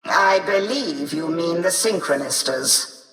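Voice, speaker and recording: A woman's voice: fairly low pitch, lively intonation, slow pace, a bit bright, soft background music. A woman voicing a stern, haughty tech-priest of a machine cult in a video game, with formal, disdainful phrasing. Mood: stern, scolding. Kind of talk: video game character voice lines. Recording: distant voice with long echoing reverb.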